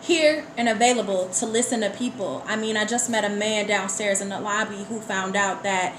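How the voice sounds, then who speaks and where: A woman's voice: medium pitch, steady tone, normal speed, fairly bright tone. A woman chatting casually as an interview guest, earnest and motivational in her manner. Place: indoor room at a live online broadcast. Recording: roomy live sound, faint noise.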